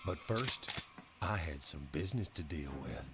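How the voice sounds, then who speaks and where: An actor playing a weary male survivor of a zombie apocalypse, narrating his journey in a measured, somber way for a game cutscene. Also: rumbling low, lively intonation, exaggerated voice. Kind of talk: scripted first-person game cutscene narration. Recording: loud music behind the voice. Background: loud music.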